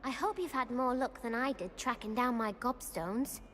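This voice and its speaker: A woman playing an excitable, proud and competitive teenage schoolgirl witch in a video game, with a British accent and a gushing, theatrical delivery. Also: a little high, normal speed, a little hiss or hum, natural voice. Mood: surprised. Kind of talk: video game character dialogue.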